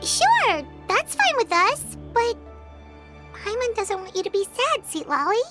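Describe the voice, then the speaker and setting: A woman's voice: extremely high pitch, very animated, a little slow, exaggerated voice. A woman voicing a tiny chirpy female fairy guide in a video game, talking about herself in third person. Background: game music.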